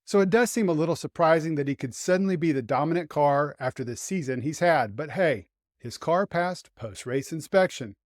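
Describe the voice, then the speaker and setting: Dry-sounding man, higher-pitched voice. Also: expressive, moderate pace, dry recording. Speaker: host and motorsport writer. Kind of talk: scripted sports commentary show.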